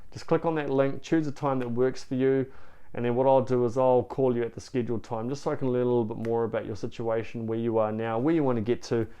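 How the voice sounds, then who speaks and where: A man's voice: mid-range voice, mellow, smooth. A man with a New Zealand accent, talking straight to camera as a relationship coach in an earnest, encouraging way, often saying "you know". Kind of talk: direct-to-camera coaching talk.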